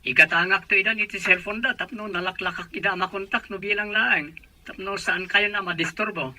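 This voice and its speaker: Man acting a sharp, high-voiced concerned relative. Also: steady tone, fairly quick. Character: concerned male relative calling about the children. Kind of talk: Ilocano radio drama acting.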